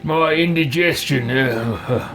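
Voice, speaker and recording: A man's voice: mid-range voice, a little slow, over music, lightly acted. A man improvising a pompous, grumpy lord with a haughty, fussy manner, roleplaying over a fantasy game. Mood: queasy, fussy. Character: pompous, grumpy male lord with delicate constitution.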